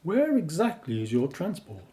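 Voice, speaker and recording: Laid-back hippie dude, male actor, higher-pitched, mellow, lively intonation, radio play. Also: moderate pace.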